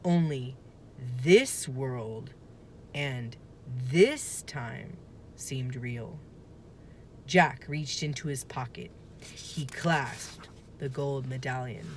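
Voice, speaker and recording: A woman reading a children's story aloud in a deliberate, expressive narrating style. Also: rumbling low, a little hiss or hum. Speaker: storybook reader.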